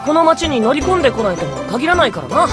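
A boyish outlaw captain with a high, bouncing voice. He gives orders breezily and casually in an animated fantasy game, music playing behind him. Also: fast, heavily acted. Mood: breezy, casual. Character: carefree boyish captain of outlaw knights, male.

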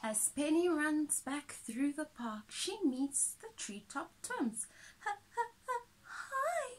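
Female teacher, thirties, high voice, slow expressive storybook reading for young children. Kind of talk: picture book read-aloud with asides. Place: small indoor room with soft toys.

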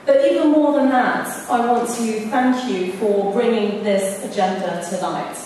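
A woman speaking at a podium in a large, echoey hall, heard from a distance with a long reverb tail. Her voice sits a little high for a woman, and she talks in an earnest, storytelling way. She lifts and stresses the words that matter most. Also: measured pace, a little mellow, slight background noise. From Iran. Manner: earnest, storytelling, rising emphasis on key words. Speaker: award recipient giving acceptance speech. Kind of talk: public acceptance speech. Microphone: podium mic, camera far off. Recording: echoey hall recorded from far off.

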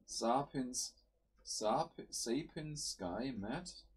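A man's voice: mid-range voice, expressive, very slow, husky. A man with a cold, hosting a gaming channel and thinking aloud in casual commentary with plenty of fillers as he plays. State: has a cold.

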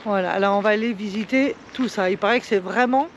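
A woman's voice: medium pitch, a little fast. A woman hosting a family travel vlog, chatting casually outdoors into a handheld camera. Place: outdoors in a town square. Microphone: camera held at arm's length. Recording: dull outdoor camera sound, crowd noise behind.

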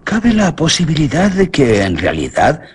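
An actor playing a sceptical, shrewd, insinuating doctor in a radio suspense drama. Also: male voice, fairly high pitch, huge pitch swings, normal speed, breathy edge, exaggerated voice.

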